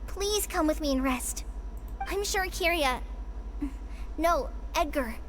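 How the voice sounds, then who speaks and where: A woman's voice: high voice, animated, takes it slowly, slight background noise. A woman voicing an earnest, caring young female character in a video game, speaking formally. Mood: concerned.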